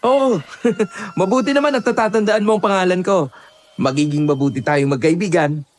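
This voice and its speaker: Man acting as brave young farmhand, higher-pitched. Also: normal speed, natural voice. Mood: playful, friendly. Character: brave, respectful young male fighter, principled farmhand. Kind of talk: audio horror drama acting.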